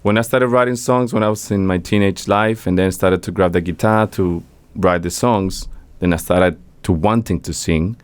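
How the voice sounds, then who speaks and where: A man's voice: low voice, moderate pace, hardly any pauses, clear, resonant tone, no room sound. A young man from Mexico, a singer-songwriter, chatting casually as an interview guest and telling stories with frequent laughs. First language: Spanish. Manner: animated storyteller, laughs often.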